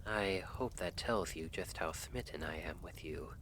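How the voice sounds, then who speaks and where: A man playing a brooding, devoted assassin in an intimate ASMR roleplay, speaking softly and formally, often in a half whisper. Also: low-pitched, a little slow, a little hiss or hum, low-quality recording. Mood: grave. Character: lovestruck male assassin, brooding, earnest, devoted.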